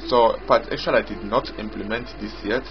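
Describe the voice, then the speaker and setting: A man giving an unscripted software walkthrough as its developer, explaining haltingly and repetitively in short phrases. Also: on the low side, steady tone. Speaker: software developer demonstrating own app. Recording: dull lo-fi audio with loud music. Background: loud music.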